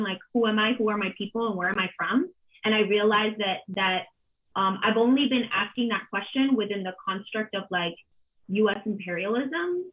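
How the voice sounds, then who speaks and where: A woman in her thirties from Colombia speaking reflectively and haltingly as a panel moderator, with many pauses and repeated words. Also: mid-range voice. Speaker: panel moderator, arts organization director. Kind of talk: reflective, unscripted panel remarks. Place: home kitchen, on a video call. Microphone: computer mic on a video call. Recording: dull, band-limited video call audio.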